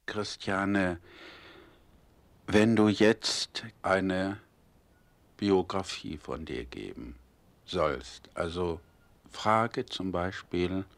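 Very deep, gravelly male interviewer. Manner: halting, searching questions. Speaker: interviewer and narrator. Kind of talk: radio documentary interviewing.

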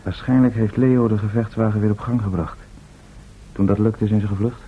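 An actor in a radio drama playing a calm, practical adventurer who reasons in a measured, matter-of-fact way. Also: male voice, on the low side, slightly rough. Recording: dull, noisy old radio recording.

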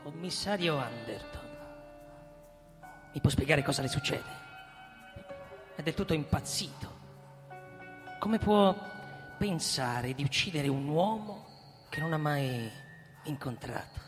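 An actor playing a cold, pompous retired army chief, an older man speaking formally and deliberately in a radio drama. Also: a little high, expressive. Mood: indignant, puzzled. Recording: echoey hall, music underneath. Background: music.

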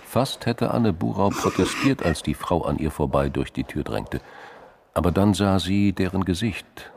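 A man narrating a radio crime drama in measured short phrases, with noise behind his voice. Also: low-pitched, expressive, vocal fry. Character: male narrator of a political crime story.

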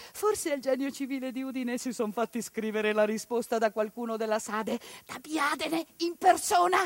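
A woman actress delivering a civic theatre monologue with indignant, declamatory force and pointed rhetorical questions. Her voice sits somewhat high, and the sound is dry with no room echo.

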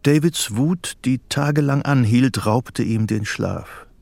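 An actor narrating a psychological thriller radio drama in sober, scripted literary prose. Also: male voice, medium pitch, slow pace, creaky, darkish tone. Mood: sober, measured.

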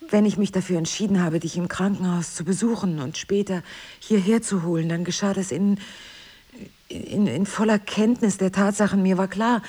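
Husky, earnest wife. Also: female voice, lowish voice, mellow. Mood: urgent pleading. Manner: earnest, insistent, dropping into whispers. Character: devoted, determined wife pleading with her husband to stay. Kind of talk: intimate dialogue-driven audio drama.

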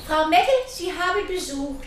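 An actress playing a deferential older housekeeper in a radio crime drama, fussy, solicitous and formal in an old-fashioned way. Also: female voice, very high-pitched, normal speed, fairly bright tone, slight background noise.

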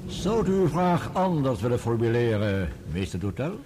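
An actor in a radio play playing a stern judge, formal and dryly admonishing. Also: male voice, medium pitch, big pitch swings, normal speed, mellow, husky. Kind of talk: courtroom radio play acting.

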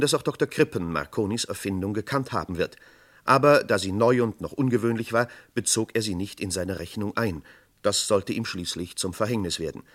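An actor as the sober narrator of a vintage radio crime drama, delivering scripted narration. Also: male voice, low-pitched, fairly bright tone.

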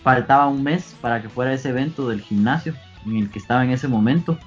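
A man in his twenties from Guatemala with a Guatemalan Spanish accent, answering interview questions earnestly, pausing often with fillers. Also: mid-range voice, dull audio, faint music behind. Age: 20s. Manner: earnest, pauses with many fillers. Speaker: guest athlete.

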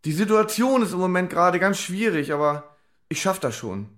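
High-voiced, evasive grown son. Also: male voice, big pitch swings, normal speed, fairly bright tone, soft background music. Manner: hesitant, evasive, placating. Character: evasive grown son, a struggling musician, placating his mother. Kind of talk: radio drama acting.

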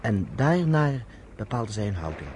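An actor playing a man who recounts history to a woman friend, in measured, explanatory radio-play narration, on a noisy old recording. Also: lowish voice, very animated, a little mellow. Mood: measured, serious.

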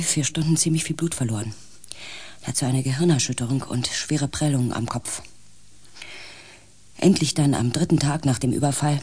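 A male actor playing an anxious member of a space expedition in a radio play, telling the story in a suspenseful, hushed manner. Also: mid-range voice, slightly rough, natural voice. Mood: tense, grave. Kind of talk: radio play acting with first-person narration.

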